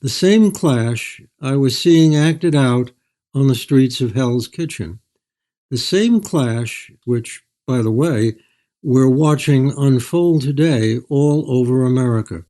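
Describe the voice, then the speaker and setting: An older man telling stories as an author in an interview, in a measured, anecdotal way. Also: medium pitch, mellow. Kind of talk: storytelling in an interview.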